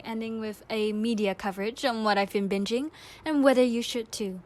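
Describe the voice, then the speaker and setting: High-pitched young woman hosting a podcast. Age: 20s. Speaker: podcast host. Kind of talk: upbeat scripted radio-show narration. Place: indoors, air-conditioned room in Malaysia.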